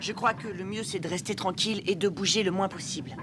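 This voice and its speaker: A woman voicing an eager, clever young boy inventor in an animated dub, talking earnestly and explaining things. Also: medium pitch, fast talker, noisy background, lightly acted. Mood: reassuring.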